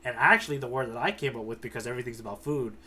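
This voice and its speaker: A man co-hosting a podcast, recapping a story in casual, slangy and animated talk. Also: medium pitch, rapid-fire, slight background noise. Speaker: podcast co-host, tour guide. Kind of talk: casual recap chat about a manga.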